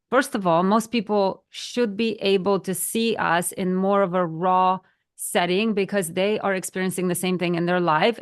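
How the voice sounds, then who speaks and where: A woman in her thirties from Poland with a Polish accent, co-hosting a podcast chat and talking earnestly, sometimes searching for words. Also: medium pitch. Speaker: podcast co-host. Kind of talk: casual chat.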